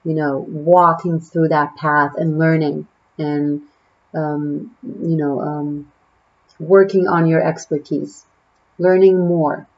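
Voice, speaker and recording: A woman's voice: deep voice, flat delivery, slow pace, lo-fi sound. A woman from Israel with an Israeli accent, a native Hebrew speaker, giving a casual tarot reading to the camera, musing and chatty with many fillers. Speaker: tarot reader.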